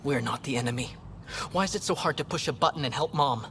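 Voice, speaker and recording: A man's voice: mid-range voice, fast talker, a bit bright, a little hiss or hum, lightly acted. An actor playing a desperate, impatient young male protester in a video game, pleading and agitated. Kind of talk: scripted game dialogue.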